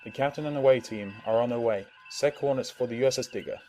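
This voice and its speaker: Man playing a bridge officer, loud background noise. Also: medium pitch, fast, lightly acted. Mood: businesslike. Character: dutiful, formal male bridge lieutenant. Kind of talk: sci-fi audio drama acting. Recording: loud noisy bridge ambience.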